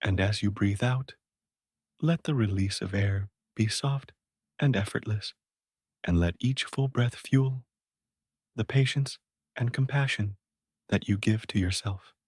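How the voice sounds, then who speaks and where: A man talks the listener down toward sleep in a slow, soothing voice. His voice sits a bit low and has a breathy, husky edge. It is recorded close up and dry. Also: no echo. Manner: slow, soft and soothing, with long pauses. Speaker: sleep meditation guide. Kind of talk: guided sleep meditation.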